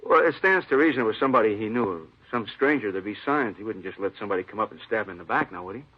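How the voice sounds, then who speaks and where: An actor playing a talkative, puzzled appliance store manager, hesitant and seeking agreement, in an old radio drama. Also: male voice, on the low side, expressive, racing, slightly rough. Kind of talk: 1950s radio drama acting. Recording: muffled old radio recording.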